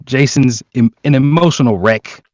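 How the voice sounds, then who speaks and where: A man chatting casually as a guest, animated and jokey in the way he talks. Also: medium pitch, normal speed, no room sound. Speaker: cast member, guest. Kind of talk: casual discussion. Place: remote online voice call.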